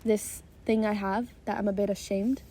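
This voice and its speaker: A woman's voice: medium pitch, fairly even intonation, normal speed, warm tone. A young woman, in her teens, with an American accent, speaking hesitantly in a casual podcast chat. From Israel. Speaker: podcast co-host.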